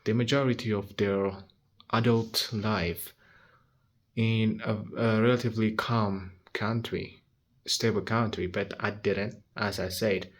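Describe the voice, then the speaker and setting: A man's voice: on the low side, fairly even intonation, measured pace. A nineteen-year-old man talking frankly to his handheld phone in a casual vlog, swearing often and restarting his sentences. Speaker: vlogger.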